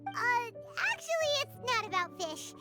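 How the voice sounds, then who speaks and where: A small floating fairy companion from an anime game. The voice is extremely high and childlike, swinging eagerly up and down with perky cheer. Prominent music plays behind it. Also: female voice, very animated, normal speed. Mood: curious, businesslike. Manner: perky, talks of self in third person.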